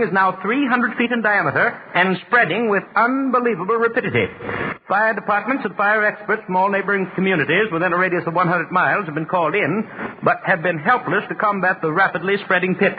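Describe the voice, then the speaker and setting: High-pitched, flustered radio professor. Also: male voice. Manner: pleading, urgent, formal. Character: flustered, insistent male university professor, earnest and alarmed. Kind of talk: 1940s radio acting. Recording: tinny old radio, faint hiss.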